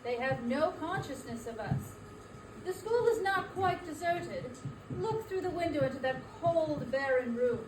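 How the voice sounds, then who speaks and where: A woman playing a solemn guiding ghost in a dramatic stage reading, with formal, measured phrasing. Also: sits high, animated. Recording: echoey room, distant mic, background noise.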